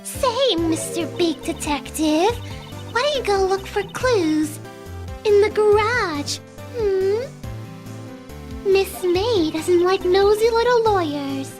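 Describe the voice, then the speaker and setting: A woman's voice: extremely high pitch, lively intonation, darkish tone, soft background music, heavily acted. A woman voicing a catty, mocking young woman in a video game fan dub, sugary and sarcastic. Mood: mocking, coy. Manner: sarcastic, cutesy, drawn-out teasing.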